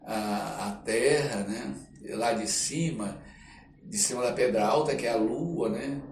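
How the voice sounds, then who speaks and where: A man's voice: medium pitch, slow. A Brazilian man chatting as a podcast guest, telling stories in a reflective, rambling way with frequent checking tags. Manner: rambling, reflective, often ending phrases with a checking tag. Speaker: interview guest, performing artist. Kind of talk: casual storytelling in a podcast interview. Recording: echoey room with faint noise.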